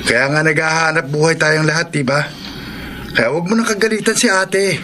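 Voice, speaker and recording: Cheeky young Filipino son, high voice, teasing, radio drama, noisy ambience. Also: male voice, normal speed, pushed and bright. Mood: bantering. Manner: teasing, bantering. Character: cheeky, dutiful young son of a poor family. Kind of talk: Tagalog radio drama acting.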